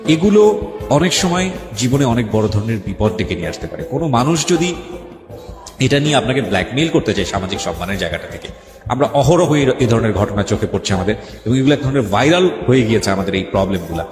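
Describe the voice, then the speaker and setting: A man's voice: medium pitch, expressive, quick pace. Echoey male radio host, music behind. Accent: Bangladeshi. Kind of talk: radio talk show hosting, addressing listeners and questioning a guest. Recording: echoey reverb, background music.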